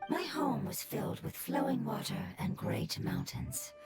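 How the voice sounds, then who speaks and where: A woman voicing an ancient fungus-like creature from a game, speaking in a breathy, solemn whisper. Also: mid-range voice, very animated, measured pace. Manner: solemn, storytelling. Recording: loud game music behind.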